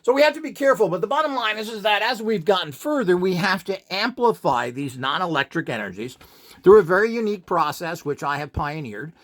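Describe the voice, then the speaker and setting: A middle-aged man with a slightly raised pitch talks through an instructional video in an emphatic, self-assured way. He is quick to dismiss rivals, and his delivery is full of fillers and self-corrections. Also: big pitch swings, fairly bright tone. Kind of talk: unscripted instructional monologue.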